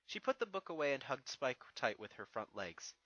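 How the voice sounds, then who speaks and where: Bright, expressive fan fiction narrator. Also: medium pitch, moderate pace, no room sound, lo-fi sound. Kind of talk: scripted story narration.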